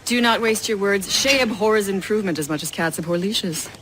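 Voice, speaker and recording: A woman's voice: mid-range voice, fairly quick, background noise, close to an everyday voice. An actress playing a stern, disdainful female assassin instructor with a dry, cutting manner in a video game. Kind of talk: video game cutscene acting.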